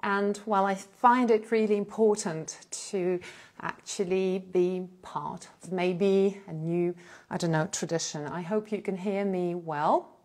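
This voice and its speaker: A woman, hosting a live stream and telling her own story in a casual, animated way, with fillers and short laughs. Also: mid-range voice, measured pace. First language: Russian. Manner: animated, gestures a lot while talking, with frequent fillers and short laughs. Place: indoor room in front of a plain blue backdrop. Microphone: small clip-on lapel mic.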